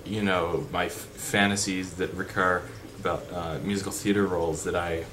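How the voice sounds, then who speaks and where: A young man with a deep voice chatting unscripted in a vlog, rambling with fillers and restarts. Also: flat delivery, normal speed. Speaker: vlogger. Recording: background noise.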